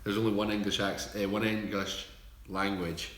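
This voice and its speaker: A Scottish man co-hosting a live stream, chatting casually with quick joking asides. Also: on the low side, level tone, a bit bright, echoey room. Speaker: livestream co-host.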